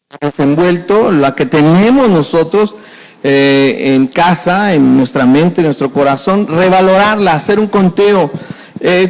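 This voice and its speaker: A middle-aged pastor preaches in a fairly high voice. He speaks conversationally and repeats himself often. The sound carries the long echo of a church hall. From Mexico. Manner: conversational, with frequent repetitions and folksy sayings. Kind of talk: sermon.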